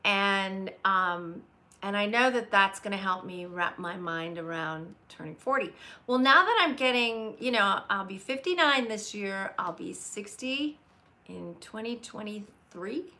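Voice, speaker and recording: A woman's voice: mid-range voice, lively intonation, unhurried, sharp tone. A woman in her late fifties hosting a casual live chat from home on a webcam, greeting viewers by name and talking candidly. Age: 50s. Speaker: livestream host. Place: home room with leather chair by window. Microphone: webcam at desk.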